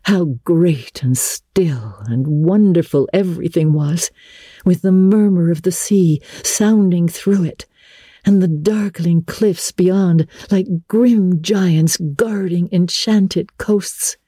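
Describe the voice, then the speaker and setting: A woman reads classic fiction in a dramatic, measured storytelling style, lingering on phrases. Her voice is on the low side, soft and mellow with some breathiness, recorded close and dry. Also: slightly rough, no echo. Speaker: narrator. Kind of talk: dramatic literary storybook narration.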